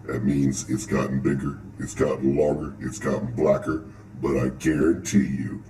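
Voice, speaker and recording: Voice-changed ultra-deep braggart; flat, dragging, roomy and distant. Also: male voice, normal speed. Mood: boastful. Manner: crude bragging. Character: boastful ultra-deep modded male voice. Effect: voice changer lowering the voice. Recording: roomy distant sound with faint noise.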